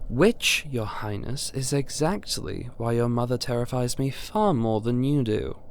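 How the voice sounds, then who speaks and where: A man's voice: medium pitch, expressive, a little slow, gravelly, a little hiss or hum. A man playing a teasing, loyal royal confidant in an audio roleplay, speaking with wry deference. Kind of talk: scripted audio roleplay acting.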